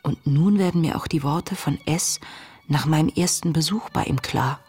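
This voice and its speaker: An actress playing an introspective young woman who reads her diary in a radio play, earnest and confessional, with a breathy voice. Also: deep voice, darkish tone, faint music behind. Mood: reflective, intimate. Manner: reflective, measured, earnest, confessional. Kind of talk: literary radio play monologue, intimate diary narration.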